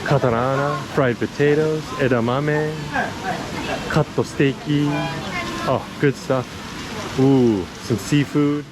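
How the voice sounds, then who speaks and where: A man's voice: medium pitch, steady tone, takes it slowly. Laid-back male vlogger on a noisy street. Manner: laid-back, chatty, informal explaining. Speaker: vlog host and walking tour guide. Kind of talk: casual walk-and-talk narration. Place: outdoors on city streets and park while walking. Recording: outdoor street noise, passing traffic. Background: city ambience and cars.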